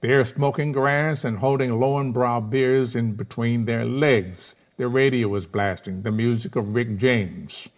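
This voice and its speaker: A man's voice: medium pitch, fairly even intonation, a little slow, hardly any pauses. Casting: male narrator for screenplay directions, middle-register voice, scripted delivery. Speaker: narrator reading stage directions.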